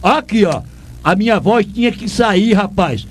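Older pernambuco guy ranting, repeats himself a lot, highish voice. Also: animated, unhurried. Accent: Northeastern Brazilian, Pernambuco. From Pernambuco, Brazil. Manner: indignant, emphatic, repeats himself. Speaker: radio show host, former sports reporter. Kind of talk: ranting commentary.

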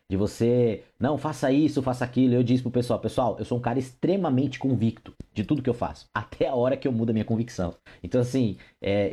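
Hoarse brazilian guy doing radio market chat, mellow dark voice, chatty with lots of fillers. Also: medium pitch. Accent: Brazilian Portuguese. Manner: informal, repeats fillers, addresses listeners as "pessoal". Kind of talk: casual market commentary.

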